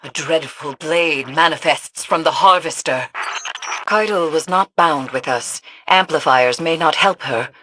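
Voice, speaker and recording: A woman's voice: low-pitched, a little slow, bright, forceful tone, full character voice. An actress playing a solemn, cryptic mystic guide in a video game, formal and urgent as she gives warnings. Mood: grave warning. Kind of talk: scripted video game dialogue.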